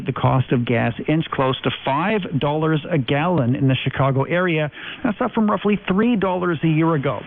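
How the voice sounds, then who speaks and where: Guy reading the news on shortwave, bit high pitched, tinny narrow sound. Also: vocal fry. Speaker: news anchor. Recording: narrowband shortwave radio with light noise.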